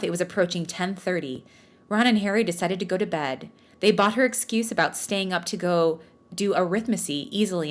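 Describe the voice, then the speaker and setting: A woman podcast host who reads fan fiction aloud in a storytelling style, with casual, self-mocking joking asides. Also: lowish voice. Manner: jokey, self-mocking, bantering.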